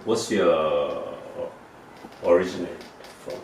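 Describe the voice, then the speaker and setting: A middle-aged man whose first language is Korean, interviewing guests in halting English. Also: deep voice, a little mellow. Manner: halting English, frequent listening murmurs. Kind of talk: casual interview questions. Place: living room indoors. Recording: roomy echo, distant mic, faint noise.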